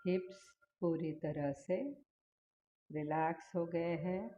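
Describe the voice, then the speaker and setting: An Indian woman leading a guided body-scan meditation as a teacher, giving soothing instructions with long pauses between phrases. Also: fairly low pitch, steady tone, warm tone. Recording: dull lo-fi sound with faint noise.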